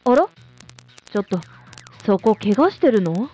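Casting: woman to play a talkative housewife and mother in a radio drama, expressive melody, motherly, gently needling. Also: mid-range voice, a little slow, soft background music. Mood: placating, concerned. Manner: motherly, homely, teasing. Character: chatty, teasing, placating Showa-era housewife and mother.

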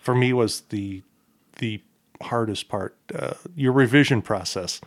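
A man's voice: on the low side, a little slow. A man interviewing a musician, asking questions hesitantly with fillers and restarts.

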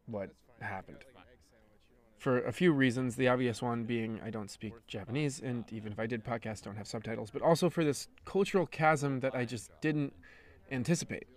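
Young American man narrating reflectively. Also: mid-range voice. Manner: reflective storytelling, measured. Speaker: podcast host. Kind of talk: scripted narration.

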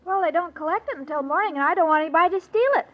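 A woman's voice: very high-pitched, rapid-fire. An actress playing a sweet, naive, self-dramatizing young woman in a 1940s radio comedy. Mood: earnest complaining. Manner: naive, earnest, melodramatic. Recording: noisy dull old radio recording, loud audience.

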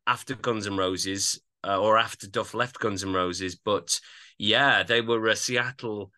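A male podcast co-host with a lowish pitch and a very bright, forward voice. He chats casually about music. His manner is agreeable, often repeating short affirmations. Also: steady tone, a little slow.

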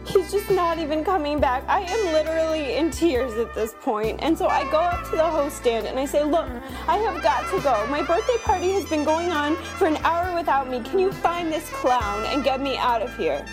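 A woman's voice: high-pitched, expressive, normal speed, music in the background, low-quality recording. A woman playing an exasperated, melodramatic diner giving a theatrical, aggrieved reading of a scathing review. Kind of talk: dramatic scripted reading over music.

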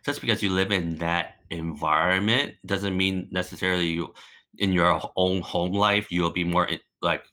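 A young man from Hong Kong talks as a podcast guest, his voice very low yet bright and forward. He speaks casually and halting, with lots of fillers and restarts as he thinks aloud. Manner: relaxed, hesitant, many likes and restarts. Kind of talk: casual interview chat.

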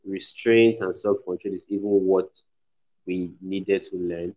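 Blunt low-voiced man, dull lo-fi audio. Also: steady tone, a little slow, dark tone. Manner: blunt and emphatic, swears, says "bro" a lot. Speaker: podcast guest. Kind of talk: casual chat. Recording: dull lo-fi sound.

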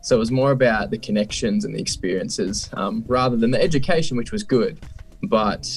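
A young man with a low voice chats easily about his craft, laughing often and pausing on ums. Faint music plays behind him. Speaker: musician guest. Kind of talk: casual interview chat.

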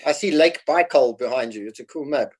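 A man's voice: medium pitch, a little fast, breathy edge. A South African man in his fifties, a guest in casual conversation, explaining things patiently and laughing easily. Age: 50s. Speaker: podcast guest.

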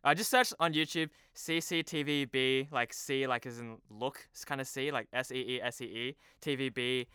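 A young man talks casually as an interview guest, with a bright, forward voice that is exceptionally clean and smooth. The sound is dry and close, and his manner is informal and a little self-deprecating. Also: medium pitch, steady tone, moderate pace, few pauses, dry recording. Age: young adult. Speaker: podcast guest, TV promo producer. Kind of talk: casual chat. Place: remote video call.

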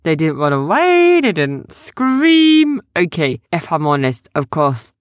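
A woman voicing a small, excitable, easily spooked male creature who speaks in childlike, ungrammatical phrases. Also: deep voice, slow pace, no room sound, clean studio sound, heavily acted. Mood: earnest.

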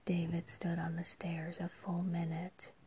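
A woman reads a story entirely in a hushed whisper. Her delivery is unhurried and low, with a flat, even line. A faint background hum sits under the voice. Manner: soft, hushed whisper throughout. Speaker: narrator. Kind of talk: whispered audiobook reading.

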